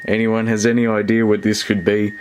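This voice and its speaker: A man's voice: lowish voice, monotone, a little fast. A man talking casually through a hands-on repair video, hesitant and thinking aloud as he goes. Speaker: host of a radio repair video. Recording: clear background noise with electrical hum.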